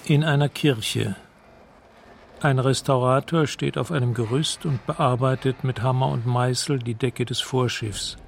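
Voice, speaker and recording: Male narrator, medium pitch, measured scripted radio play narration, matter-of-fact, faint background noise. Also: fairly even intonation, vocal fry. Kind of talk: scripted present-tense radio drama narration.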